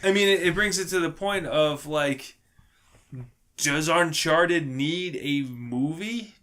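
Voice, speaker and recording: Higher-voiced man, emphatic podcast chatter. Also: takes it slowly, bright, forceful tone. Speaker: podcast panelist. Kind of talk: casual, animated opinion chat.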